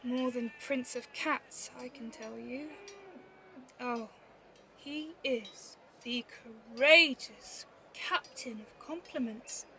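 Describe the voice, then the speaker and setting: A woman acting a witty, bawdy young Shakespearean rogue, female and mocking, in a high, bright voice. The delivery is theatrical and teasing, moving from loud flourishes to mutters at an unhurried pace, on a home recording with faint noise. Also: exaggerated voice. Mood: theatrical relish. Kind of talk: Shakespeare audio drama acting. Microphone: phone.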